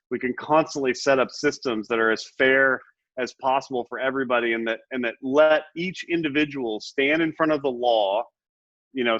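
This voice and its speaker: A man's voice: mid-range voice, fairly bright tone, dry recording. Middle-aged guy, fired-up political guest, stumbles and restarts, kinda muffled sound. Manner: impassioned, repeats and restarts words. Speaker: Senate candidate, guest. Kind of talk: earnest interview talk. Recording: muffled dry audio.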